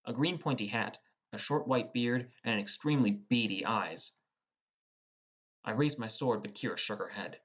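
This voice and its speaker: A man's voice: lowish voice, moderate pace, a bit bright, highs cut off. A man reading first-person scripted narration of a fantasy story as an audiobook narrator.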